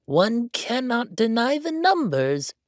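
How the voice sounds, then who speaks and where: A pompous fantasy count in an audio drama, pitched up and swooping with emphasis. He lectures in formal, condescending phrases, blunt and haughty, in a dry recording. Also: big pitch swings, slow pace, dry recording, full character voice. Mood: defiant, lecturing. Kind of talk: villain roleplay audio drama.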